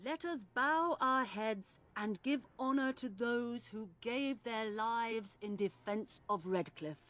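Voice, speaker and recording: A devout fantasy game priestess. She speaks very slowly and reverently, in a slightly high, solemn voice. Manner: reverent, ceremonial. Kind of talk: dramatic video game acting.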